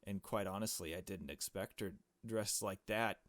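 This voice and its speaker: Lowish guy doing a chummy game playthrough, reads it out then cracks jokes, faint music behind. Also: normal speed, fairly bright tone. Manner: chummy, jokey, swears. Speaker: let's play host reading a visual novel aloud. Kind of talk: casual playthrough with narration and joking asides.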